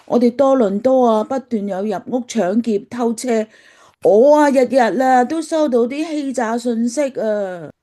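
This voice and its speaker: Woman as a higher-voiced, skeptical Cantonese mother. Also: unhurried, mellow, natural voice. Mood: skeptical, complaining. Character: skeptical, plain-spoken nagging mother. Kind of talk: radio drama acting.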